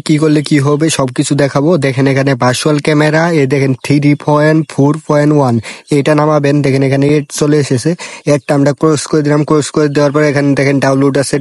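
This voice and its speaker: Rapid-fire Bangladeshi man, tutorial host. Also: mid-range voice, a little mellow, no room sound, studio quality. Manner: repetitive, instructing step by step. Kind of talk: informal phone app tutorial narration.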